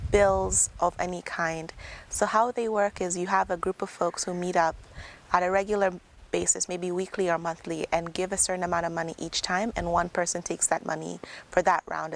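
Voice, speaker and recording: A young woman from Tanzania, a software engineer, giving a prepared talk in an earnest, conversational way. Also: lowish voice, gravelly, a little hiss or hum. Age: young adult. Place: outdoors.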